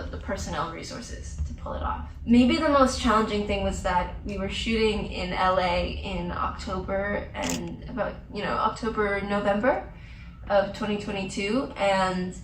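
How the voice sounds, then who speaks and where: A woman of middle pitch gives articulate, reflective answers as a guest at a film Q&A, now and then breaking into a light laugh. Her voice sounds distant and roomy, carried through a large theater. A faint hum sits underneath. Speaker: actress, festival guest. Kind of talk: post-screening Q&A answers.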